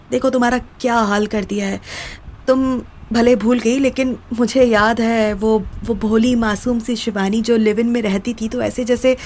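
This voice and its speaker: A woman's voice: on the high side, darkish tone, slight background noise, lightly acted. An actress playing an anxious, coaxing young woman in an audio drama, a pleading peacemaker who mixes in English phrases.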